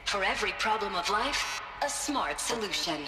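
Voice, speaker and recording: An actress voicing a polished, upbeat corporate promotional narrator in a scripted video game announcement. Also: female voice, mid-range voice, lively intonation, normal speed, close to an everyday voice. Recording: echoing hall reverb over music. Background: music.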